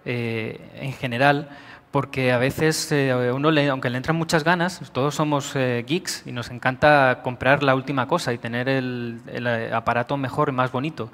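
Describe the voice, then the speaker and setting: A middle-aged man from Spain with a Spanish accent, giving a measured conference talk with frequent pauses in a large auditorium. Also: mid-range voice, steady tone, a bit bright. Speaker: conference speaker. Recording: long hall reverb.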